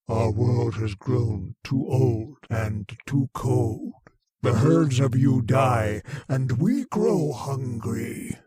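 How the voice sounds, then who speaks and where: A man as a very slow, menacing alien monster. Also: medium pitch, dark tone, close to an everyday voice. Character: male alien monster, cold, commanding, hungry for human brains. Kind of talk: old-time radio horror acting.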